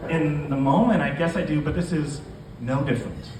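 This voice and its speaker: A man's voice: on the high side, normal speed, lightly acted. A man reading as a hesitant boyfriend, echoey. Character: hesitant boyfriend, reluctant but willing to please. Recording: echoey, noisy venue, distant microphone.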